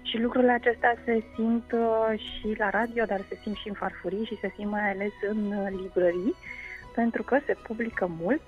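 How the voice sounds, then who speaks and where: A woman explains as a guest in a radio interview, often pausing mid-phrase as she searches for words. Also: a little high, fairly even intonation, narrow, tinny audio, faint music behind. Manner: hesitant, with frequent pauses mid-phrase. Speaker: guest food culture researcher.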